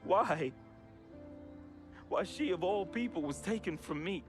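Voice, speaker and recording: A man's voice: sits high, animated, unhurried. An actor playing a troubled, grieving young American man reading a farewell letter to his friend in an emotional, slangy, confessional voice-over. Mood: anguished, then tender, mournful. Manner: emotional, slangy, heartfelt urban American delivery. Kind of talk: dramatic confessional voice-over monologue. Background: music.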